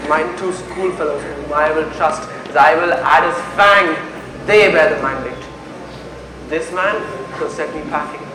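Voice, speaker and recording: Male student actor as tormented young prince, high voice, declamatory Shakespearean stage delivery, roomy echo, music behind. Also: unhurried, a crowd in the background, natural voice. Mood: grim, subdued. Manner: declamatory, exclaiming.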